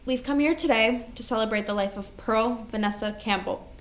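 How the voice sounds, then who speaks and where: A woman's voice: on the high side, fairly quick, slight background noise, close to an everyday voice. A woman in a staged play reading, playing a devoted older sister burdened by grief and responsibility, speaking earnestly and emotionally. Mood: grieving. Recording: echoey room recording.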